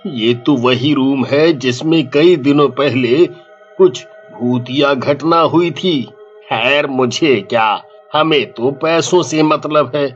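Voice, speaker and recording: A shifty, money-minded hotel manager for a cartoon, done by a man. He talks slowly and slyly. The sound is a little muffled, with music behind. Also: mid-range voice, close to an everyday voice. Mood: scheming. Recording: dull lo-fi audio with music behind.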